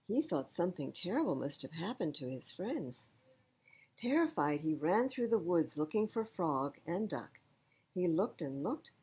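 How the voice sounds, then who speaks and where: A woman reading a picture book aloud to children as an expressive storyteller. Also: on the low side, husky.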